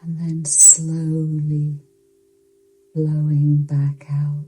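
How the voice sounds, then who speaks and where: A woman narrating a guided yoga nidra meditation in a soothing, hushed, unhurried voice with long pauses. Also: sits low, monotone, rough recording.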